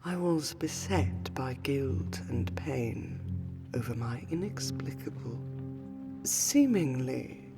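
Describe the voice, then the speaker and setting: A woman playing an exhausted, grieving, centuries-old noble lady vampire in a fantasy audio roleplay, speaking formally and haltingly, sometimes dropping to a breathy whisper. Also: very deep voice, animated, heavily acted. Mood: grieving, solemn. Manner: formal archaic diction, halting, sighing, slipping into breathy whispers. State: exhausted and pained. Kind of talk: fantasy roleplay audio drama, intimate monologue. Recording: music behind the voice. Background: music.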